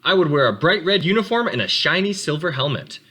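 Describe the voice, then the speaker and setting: A man reading as an eager, lively little boy turtle. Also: on the high side, fairly quick, husky, voice pushed up, full character voice. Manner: eager, words tumbling out. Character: excitable young boy turtle, dreamy and eager.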